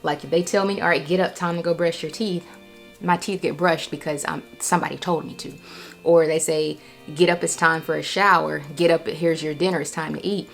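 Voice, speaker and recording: A low-voiced American woman with a noticeable creak talks casually to her vlog audience. She is candid and reflective, circling back over phrases to stress them, with faint music behind her. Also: steady tone, brisk. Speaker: vlogger.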